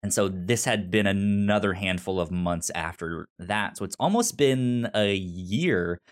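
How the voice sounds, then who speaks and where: A man's voice: sits low, measured pace. A man talking casually as a podcast co-host, recapping a story with frequent stammers and repeated false starts. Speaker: podcast co-host discussing an audio drama.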